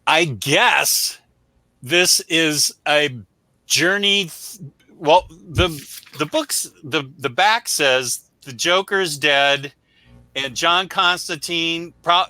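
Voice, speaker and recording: A man's voice: on the high side, slow pace, pushed and bright, no echo, poor audio. A man co-hosting a casual, unscripted review show, chatting with viewers in a blunt, opinionated, emphatic way. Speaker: co-host of a comic book review show.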